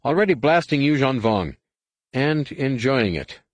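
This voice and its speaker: A man voicing an earnest, idealistic young Jedi who gently moralizes and reflects, in a science fiction audiobook. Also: mid-range voice, sing-song, unhurried, no echo, voice pushed up. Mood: reassuring.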